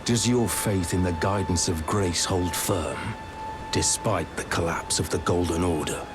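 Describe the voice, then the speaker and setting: An actor playing a gruff, friendly, seasoned warrior and mentor in a video game, plain-spoken and laconic. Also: male voice, low-pitched, measured pace, music in the background. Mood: measured, welcoming. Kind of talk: video game character dialogue.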